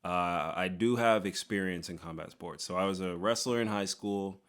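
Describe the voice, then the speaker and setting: A young man from Texas reads viewer comments aloud and answers them in a loose, joking way. His voice sits a little low, and he tosses in quick sarcastic asides. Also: a bit bright. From Texas, USA. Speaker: YouTuber host. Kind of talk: casual Q&A reading comments.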